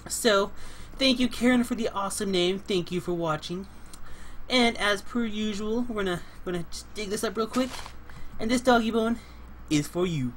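A young man hosting a casual game let's play, playful and chatty, getting over a cold and clearing his throat now and then. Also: sits high, moderate pace, a bit bright, a little hiss or hum. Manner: playful, chatty, talks to animals and co-player in a cutesy way. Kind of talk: casual gameplay commentary.

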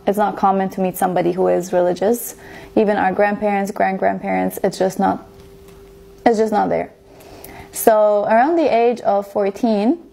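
A woman's voice: medium pitch, vocal fry, a little mellow. A woman in her twenties from Estonia, a native Estonian speaker, telling a personal story casually as a video blogger, chatty and repeating words for emphasis. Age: 20s.

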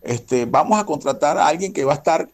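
A man's voice: fairly high pitch, expressive, a little fast. A man with a Venezuelan accent giving an earnest interview answer, repeating phrases for emphasis. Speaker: guest, security company manager.

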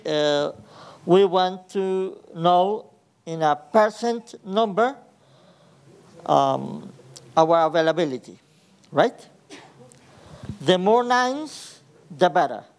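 A man's voice: sits high, smooth. A man from Ecuador with an Ecuadorian Spanish accent giving a technical conference talk in a large hall, hesitant with many fillers and restarts. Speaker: conference speaker.

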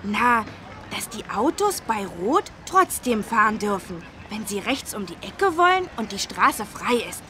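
A woman voicing a bright, friendly young boy who eagerly explains things in a children's cartoon game. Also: mid-range voice, big pitch swings, measured pace. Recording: faint street noise behind the voice.

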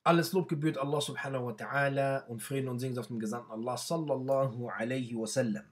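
A man's voice: medium pitch, fairly even intonation, quick pace. A young man whose first language is not German, presenting his own book in a casual talk to camera from a small bedroom, hesitant with many fillers and restarts.

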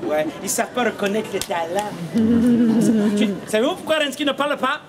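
A man's voice: high voice, normal speed. A man, telling comic stories theatrically and mock-seriously as a street puppeteer. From Canada. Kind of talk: comic storytelling to a live audience. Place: outdoor cobbled street by a stone wall. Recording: outdoor live show, noisy crowd.

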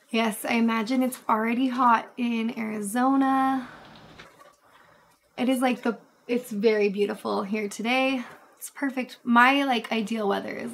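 A woman in her thirties, slightly hoarse, chatting casually with viewers on a livestream from her bed, with lots of fillers. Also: on the high side, a bit bright. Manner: chatty, reads chat aloud, many fillers. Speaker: livestream host. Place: bedroom, sitting in bed. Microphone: webcam framing.

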